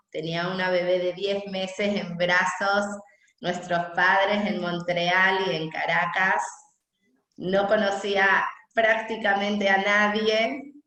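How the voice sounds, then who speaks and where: A young woman with a Venezuelan accent, telling her personal story in an unhurried, conversational way as a guest speaker on a video call. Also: fairly low pitch, a bit bright. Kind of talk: personal talk at an online gathering. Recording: echoey room on a video call.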